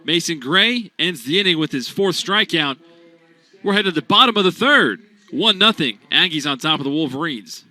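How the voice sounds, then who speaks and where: A young man delivering radio baseball play-by-play with a high, very bright, ringing voice. His calls are punchy and animated, in classic sportscaster fashion. He keeps the action moving for listeners who cannot see the field. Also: moderate pace. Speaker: play-by-play announcer.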